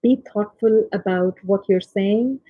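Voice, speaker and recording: A soft-spoken woman in her thirties from India gives a reflective leadership talk from home over a video call, with frequent ums and restarts. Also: mid-range voice, slow pace, no room sound. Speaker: tech leader giving a conference talk. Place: home room, remote talk. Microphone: laptop or webcam mic, video call. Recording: tinny, husky dry video-call audio.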